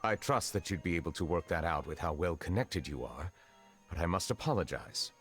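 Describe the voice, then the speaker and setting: An actor playing a reserved, centuries-old chief justice in a fantasy video game, speaking in measured, formal phrases. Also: male voice, deep voice, animated, slightly rough, soft background music. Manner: measured, formal, stately diction.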